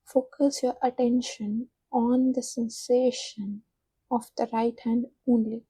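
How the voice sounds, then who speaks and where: Soft, breathy, very slow woman guiding meditation. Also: on the high side, flat delivery, no room sound. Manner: soft, soothing, unhurried instruction with long pauses. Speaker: meditation guide. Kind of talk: guided meditation.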